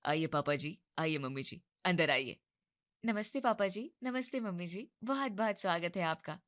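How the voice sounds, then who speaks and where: A woman's voice: fairly low pitch, expressive, normal speed, fairly bright tone, no echo, clean studio sound. A woman voicing a flustered, anxious young man who keeps pleading and worrying. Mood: polite.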